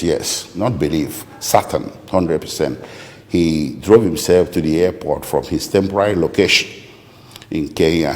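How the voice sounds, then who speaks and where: A man with a Nigerian accent answering interview questions as a lawyer, speaking deliberately and haltingly with precise detail. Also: extremely low pitch, darkish tone. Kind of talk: interview answers recounting a client's account. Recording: echoey room with long reverb.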